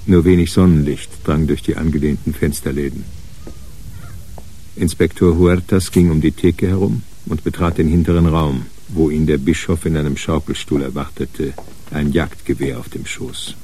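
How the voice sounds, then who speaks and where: A man acting as the measured narrator of a hard-boiled crime radio play. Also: a bass voice, fairly even intonation, mellow, slight background noise. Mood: measured, narrating. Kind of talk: scripted narration in a radio drama.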